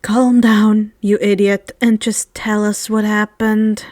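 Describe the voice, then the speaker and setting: A woman's voice: medium pitch, flat delivery, slow pace, darkish tone, no echo, studio quality, voice pushed down, natural voice. A woman voicing a haughty, exasperated teenage schoolboy in a storybook, snappy and emphatic. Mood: amused annoyance.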